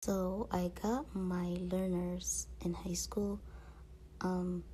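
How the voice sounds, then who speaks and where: A woman's voice: lowish voice, little pitch movement, extremely slow, dark tone, slight background noise. A woman vlogger chatting casually to her viewers in a rambling, slangy way. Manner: rambling, peppered with slang and filler phrases.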